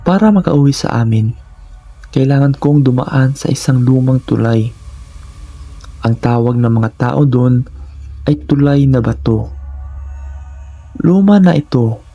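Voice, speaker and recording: Gravelly, dark-voiced man telling horror stories. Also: medium pitch, unhurried, long pauses, dry recording, lo-fi sound, soft background music. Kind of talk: scripted first-person horror storytelling.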